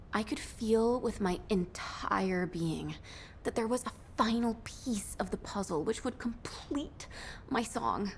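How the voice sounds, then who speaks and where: A dreamy young woman from a video game, with a fairly high voice. She is excitable and musical-minded in temper. Slight noise sits behind her. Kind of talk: scripted game dialogue.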